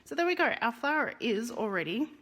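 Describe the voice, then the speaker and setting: A woman's voice: on the high side, big pitch swings, a little fast, a bit bright, slight background noise. A woman with a Russian accent teaching a live card-making lesson, chatting with viewers by name and rolling her R's. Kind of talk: live-streamed craft lesson.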